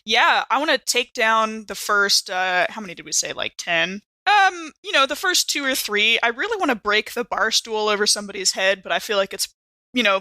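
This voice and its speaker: Bright-voiced playful woman playing tabletop games. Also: mid-range voice, no echo. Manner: playful, expressive. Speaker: tabletop game player. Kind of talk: casual improvised role-play chat.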